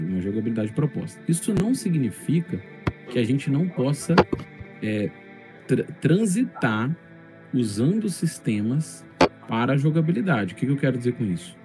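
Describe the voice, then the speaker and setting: A man's voice: medium pitch, big pitch swings, normal speed. A middle-aged man with a Brazilian accent explaining things in casual, articulate podcast talk, often checking for agreement. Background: faint music.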